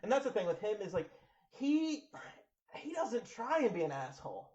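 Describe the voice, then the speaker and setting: A man's voice: high-pitched, big pitch swings, moderate pace, darkish tone. A man in his thirties in casual chat, blunt, joking and swearing freely. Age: 30s. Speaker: wrestler, podcast co-host. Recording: dull lo-fi sound.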